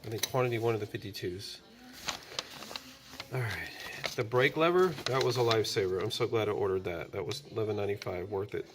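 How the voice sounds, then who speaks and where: A man's voice: on the low side, brisk. Man thinking aloud through a parts list. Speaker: DIY video host. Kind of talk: casual how-to walkthrough. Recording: noisy background.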